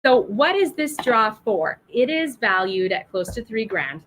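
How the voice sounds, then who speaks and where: A woman's voice: fairly high pitch, sing-song, a little slow, fairly bright tone. A woman hosting a livestream and running a prize draw, chatting casually and apologetically straight to her viewers.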